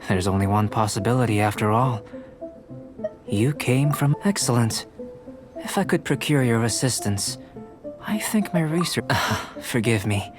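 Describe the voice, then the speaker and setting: A man voicing a calm, curious young alchemist and painter in a video game, measured and scholarly. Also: medium pitch, lightly acted. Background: game music.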